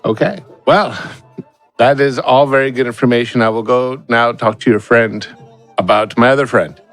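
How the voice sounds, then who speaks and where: A man's voice: medium pitch, moderate pace, fairly bright tone. A man playing a tabletop role-playing game, speaking in character in a polite, conversational way. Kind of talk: casual in-character role-play. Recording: music behind the voice.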